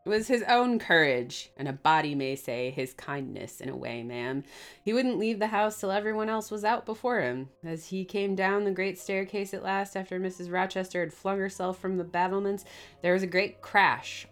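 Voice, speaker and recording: A woman's voice: fairly low pitch, natural voice. A woman voicing a grave middle-aged male innkeeper who speaks in plain, old-fashioned country words and is deferential and sorrowful.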